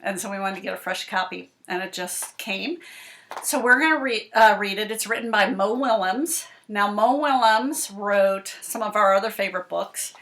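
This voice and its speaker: A woman talking to young children as a school librarian, explaining things with pauses and emphasis on key words. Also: mid-range voice, fairly bright tone. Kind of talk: read-aloud talk for young children.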